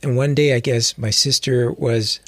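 A man's voice: medium pitch, fairly even intonation, normal speed, dry recording. A man from Hawaii telling stories casually as a guest musician and teacher, reflective and often rephrasing as he goes. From Hawaii, United States. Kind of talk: casual interview storytelling.

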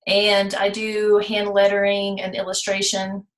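A woman's voice: medium pitch, monotone, a little slow, echoey room, slight background noise, low-quality recording. A woman from Virginia in a friendly, chatty podcast conversation. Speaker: hand-lettering artist guest. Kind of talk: casual podcast chat.

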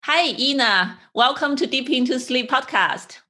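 A woman's voice: high voice, lively intonation, background noise. A woman hosting a podcast, chatty and enthusiastic as she asks questions. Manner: enthusiastic, chatty, quick laughs. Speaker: podcast host. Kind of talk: podcast interview.

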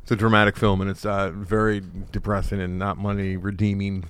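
A man's voice: lowish voice, completely flat delivery, brisk, a bit bright, clean tone. A stuffy, sweaty-feeling man co-hosting a casual podcast, blunt and skeptical with dry asides. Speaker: podcast co-host. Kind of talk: casual chat.

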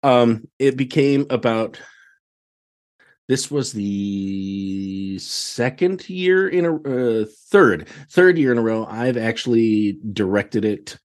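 A man's voice: medium pitch, slow. Chatty American man telling stories. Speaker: podcast guest, theatre director. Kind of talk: casual chat.

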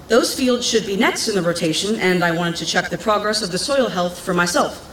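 A woman's voice: mid-range voice, a bit bright, lightly acted. A woman playing an earnest, resolute young village messenger, giving a formal public report in an audio drama. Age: young adult. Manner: formal, declarative public speaking. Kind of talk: scripted audio drama acting. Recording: echoey, faint background noise.

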